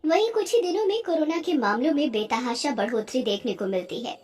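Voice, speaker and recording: High-pitched woman storyteller. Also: expressive, brisk, a little mellow, faint music behind. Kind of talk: scripted cartoon story narration.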